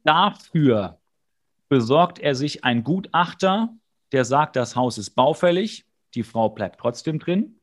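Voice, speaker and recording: A man's voice: a little high, animated, measured pace. A man co-hosting a podcast, recapping an episode in casual chat with a jokey, rambling, wry manner. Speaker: podcast co-host.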